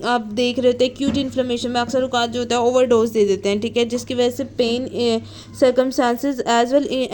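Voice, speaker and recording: Woman, fairly high voice, informal teaching style, reads notes then explains, frequent check-in tags, code-switching. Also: warm tone. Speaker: physiotherapy lecturer.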